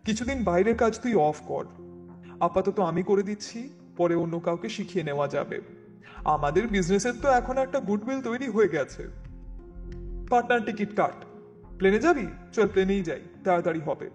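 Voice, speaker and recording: A man's voice: high-pitched, expressive, normal speed, darkish tone, faint music behind. A man playing an anxious, scheming friend who feigns ease and coaxes in an audiobook drama. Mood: relieved, eager.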